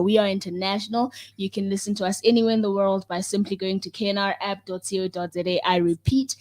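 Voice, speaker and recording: A woman's voice: mid-range voice, a little mellow. A young woman with a South African accent hosting a youth radio talk show, playful and fond of repeating phrases for emphasis. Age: young adult.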